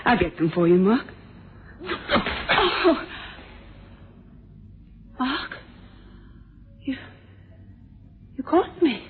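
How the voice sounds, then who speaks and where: A woman's voice: high-pitched, big pitch swings, drawn-out words, long pauses, slight background noise, heavily acted. An actress playing a sympathetic, observant young woman in a suspense radio drama, with a tentative manner. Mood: startled. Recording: phone-like vintage radio recording.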